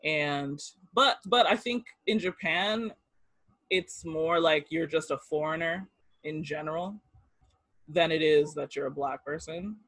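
Dry-voiced man, halting and laughing. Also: fairly high pitch, a bit bright, no room sound, poor audio. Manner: halting, lots of fillers and restarts, laughs easily. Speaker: interviewee. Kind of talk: casual storytelling interview.

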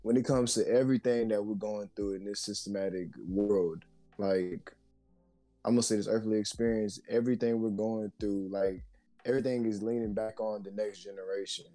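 Low, mellow-voiced reflective man. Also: steady tone, normal speed. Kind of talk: casual chat.

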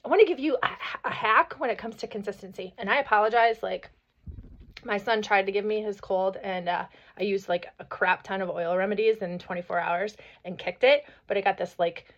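A woman in her thirties or forties hosting a motivational podcast, hoarse from a cold, talking energetically and often asking "right?". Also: medium pitch, fairly quick. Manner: energetic, chatty, peppered with "right?" and "like". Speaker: podcast host. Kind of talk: motivational solo podcast talk. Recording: slightly dull lo-fi audio.

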